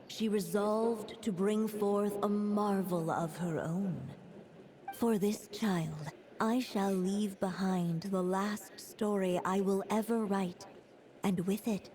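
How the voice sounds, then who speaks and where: A woman playing a solemn, gentle witch who narrates a fairy tale in a video game, measured and storytelling. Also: medium pitch, natural voice. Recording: long reverb under clear music.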